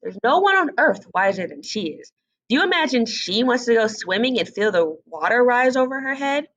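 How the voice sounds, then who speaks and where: A woman's voice: fairly high pitch, very animated, brisk, fairly bright tone, lo-fi sound, voice pushed up. A woman voicing a bossy, conceited hen in a storybook, scolding and lecturing with haughty emphasis. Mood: scornful. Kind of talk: storybook read-aloud character voice.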